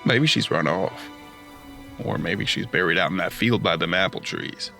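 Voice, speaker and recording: Young frontier apprentice: earnest, plain speech, forceful edge with some fry, western audio drama. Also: male voice, deep voice, normal speed, faint music behind, exaggerated voice. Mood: uneasy. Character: young, earnest male apprentice bounty hunter.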